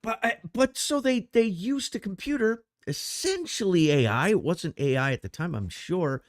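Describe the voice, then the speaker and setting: Lively, animated middle-aged man. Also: high voice, normal speed, no room sound, clean studio sound. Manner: rambling, animated, frequent restarts. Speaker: podcast co-host. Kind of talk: casual chat.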